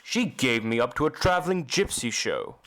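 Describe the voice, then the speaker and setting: A man playing a tormented, embittered masked opera recluse in an audio drama, telling his story in a slow, solemn, dramatic way. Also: medium pitch, huge pitch swings, fairly bright tone, dry recording. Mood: bitter, pained. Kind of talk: amateur audio drama acting.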